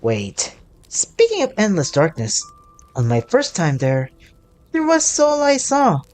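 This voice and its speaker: Brief: female game streamer, very lively intonation, slow pace, dramatic playthrough commentary over music. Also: mid-range voice. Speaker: gaming streamer. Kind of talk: game playthrough commentary, reading dialogue aloud.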